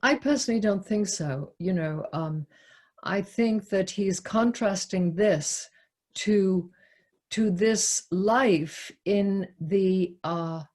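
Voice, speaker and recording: A woman's voice: medium pitch, slow, darkish tone. A woman leading an unscripted literary discussion, hesitant and searching for her words. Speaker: discussion leader.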